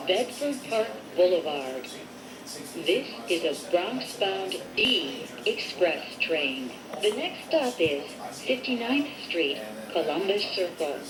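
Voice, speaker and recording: Woman doing recorded train stop announcements, slow n measured, very up and down melody. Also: fairly low pitch, low-quality recording. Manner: measured, formulaic announcing in short separate phrases. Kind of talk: recorded transit announcement, scripted.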